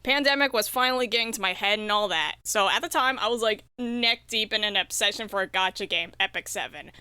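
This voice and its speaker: A young woman around twenty chatting casually as an interview guest, telling stories in an animated, slangy way with dramatic emphasis. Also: mid-range voice, brisk, smooth, no room sound, clean studio sound. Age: late teens to about twenty. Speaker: podcast guest, indie visual novel developer. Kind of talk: casual enthusiastic interview chat.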